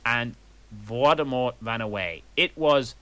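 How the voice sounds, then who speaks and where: A man's voice: mid-range voice, slow, a bit bright, no room sound. A man reading a fan story aloud in a mock-dramatic way, with sarcastic asides and typos read out literally.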